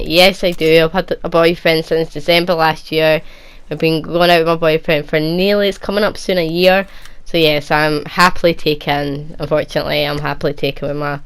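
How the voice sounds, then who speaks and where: Scottish young woman with a low voice. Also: smooth. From Edinburgh, Scotland. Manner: chatty, rambling, lots of restarts and "like". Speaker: gaming YouTuber. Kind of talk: casual Q&A chat.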